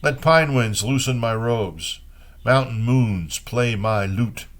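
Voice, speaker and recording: A man reads poetry aloud at a very slow pace. His voice sits at a medium pitch. He leaves space between short phrases, presenting each line as a reader and host. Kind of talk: poetry reading with brief spoken commentary.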